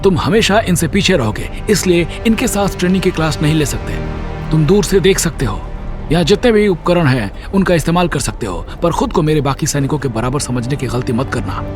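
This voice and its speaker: A stern veteran training commander, acted out by a male narrator, scornful and sharp-tongued. His pitch sits fairly high and moves a lot as he barks reprimands in a military audio drama, with music playing underneath. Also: lively intonation, fairly quick, lightly acted. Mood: stern, commanding. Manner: harsh, sarcastic, barking reprimands.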